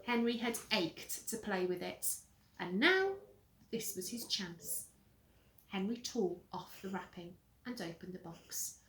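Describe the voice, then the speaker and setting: A woman reading a children's story aloud in a dramatic, deliberate, expressive way, like a teacher with pupils. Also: medium pitch. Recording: roomy echo.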